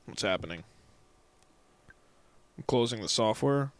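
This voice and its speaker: A man's voice: mid-range voice, expressive, a little slow, clear, resonant tone. A man hosting a let's play, talking casually with jokes, swearing and lots of fillers. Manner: joking, rambling, swears, many fillers. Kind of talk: casual gameplay commentary.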